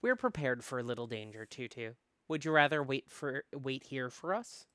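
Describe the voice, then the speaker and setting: A man voicing a thoughtful, eloquent scholar in a visual novel, with measured, formal, literary phrasing. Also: mid-range voice, sing-song, pushed and bright, clear, resonant tone, no echo. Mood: encouraging. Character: thoughtful, eloquent male scholar studying arcane flowers. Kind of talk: visual novel read-aloud with narration.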